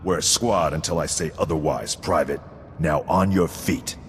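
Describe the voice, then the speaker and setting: Deep-voiced stern army sergeant, echoey. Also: male voice, moderate pace, soft background music. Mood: stern, commanding. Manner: clipped military delivery, reciting figures coldly. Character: stern, unflappable male army sergeant who demands discipline. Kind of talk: video game cutscene acting. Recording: echoey hall, noisy ambience behind.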